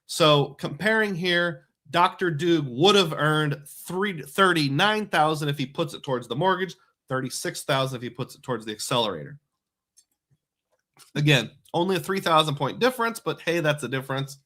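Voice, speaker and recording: A man's voice: fairly high pitch, expressive, normal speed, sharp tone. A man hosting a live stream who works through credit card points math step by step, explaining each calculation aloud. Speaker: host.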